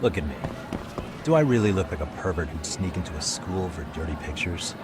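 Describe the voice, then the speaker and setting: A man's voice: sits low, expressive, fairly quick, very noisy. A man playing a laid-back adult private detective, talking in a casual, wry, persuasive way as in a video game. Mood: defensive, baffled. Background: background music.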